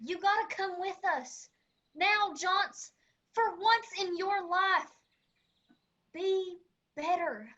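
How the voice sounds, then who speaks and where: A woman's voice: very high voice, slow pace, long pauses, bright, forceful tone, muffled recording, full character voice. A woman playing a pleading, exasperated girl in a radio drama, drawing out the words.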